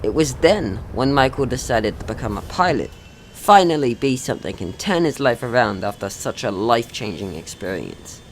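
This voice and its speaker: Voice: mid-range voice, lively intonation, moderate pace, a little hiss or hum. A young person narrating a scripted story in a mock-serious way. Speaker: video creator narrating own short film.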